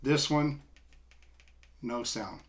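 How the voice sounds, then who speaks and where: A middle-aged man with an American accent gives a casual, unscripted product demonstration in a plain-spoken, informal way, explaining things step by step with halting delivery. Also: medium pitch, very noisy. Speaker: product reviewer. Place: small indoor room, seated at a table.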